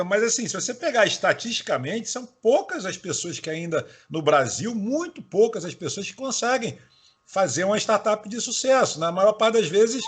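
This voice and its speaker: A man's voice: high-pitched, breathy edge. A man from Bahia with a Carioca accent, hosting an interview in a chatty, casual way with frequent restarts. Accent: Carioca Portuguese. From Bahia, Brazil. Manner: chatty, laughs while talking, many restarts. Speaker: interviewer host.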